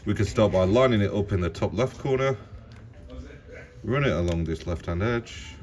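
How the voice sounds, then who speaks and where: A man with a fairly low voice narrates a how-to guide. He is practical and plain-spoken, explaining each step informally as the host of a repair tutorial. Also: animated, moderate pace, darkish tone.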